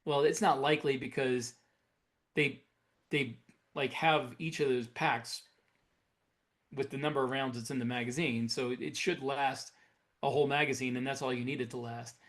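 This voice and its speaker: A man's voice: medium pitch, steady tone, normal speed, pauses often, a bit bright. A man co-hosting a podcast, explaining his opinions in casual conversation. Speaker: podcast co-host.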